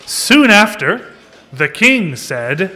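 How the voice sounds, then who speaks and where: A man narrating a children's puppet show in deliberate, expressive storybook style. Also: high-pitched.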